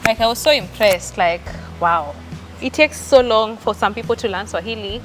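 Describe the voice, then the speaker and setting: A woman from Kenya, co-hosting a vlog in an excitable, exclaiming way. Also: medium pitch, normal speed, over music, poor audio. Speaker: co-host. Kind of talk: casual vlog chat.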